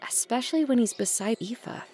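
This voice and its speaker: Animated, blunt grown daughter acted by a woman. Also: on the high side, fairly quick, natural voice. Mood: wry, uneasy. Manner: dry, curt, bossy with siblings. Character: guarded, blunt adult daughter of a wealthy family. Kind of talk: audio drama acting.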